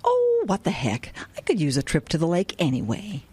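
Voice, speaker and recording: A woman's voice: lowish voice, wildly swooping pitch, moderate pace, dark tone, heavily acted. Swooping, ditzy, chipper mother. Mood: breezy. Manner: airy, oblivious, chipper. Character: ditzy, cheerful, trusting middle-aged mother. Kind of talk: comedic audio drama acting. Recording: hollow reverberant room, clearly noisy.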